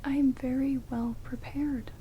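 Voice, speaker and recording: A woman's voice: high voice, unhurried, dull audio. A woman playing a sardonic, reluctantly helpful vampire in a whispered ASMR roleplay, dry and rude, close on binaural mics. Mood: grudgingly helpful. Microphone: close binaural microphones. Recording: binaural whisper with steady rain noise. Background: heavy rain.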